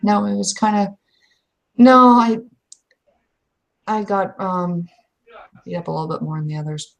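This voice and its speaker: A woman playing a stubborn member of a werewolf clan in improvised roleplay, pushing back in a halting, contrary way. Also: medium pitch, flat delivery, vocal fry. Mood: hesitant, matter-of-fact. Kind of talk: improvised in-character roleplay dialogue.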